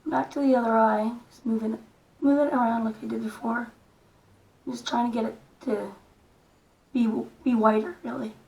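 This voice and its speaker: A middle-aged woman with a croaky voice, gently talking through a makeup tutorial in a soft, unhurried way. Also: on the high side, fairly even intonation, low-quality recording. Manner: soft-spoken, unhurried, stops and restarts gently. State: hoarse, croaky voice. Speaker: makeup vlogger. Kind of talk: casual makeup tutorial talk.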